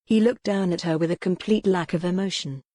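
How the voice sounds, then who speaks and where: A woman's voice: fairly low pitch, brisk, mellow, dry recording, studio quality. A woman reading scripted, dramatic historical romance narration as an audiobook narrator.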